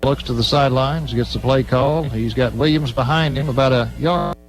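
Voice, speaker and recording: Guy doing live football radio play by play, medium pitch, clipped running calls, bit of music in the background. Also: moderate pace, poor audio. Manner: clipped, present-tense running description. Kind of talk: live radio sports commentary.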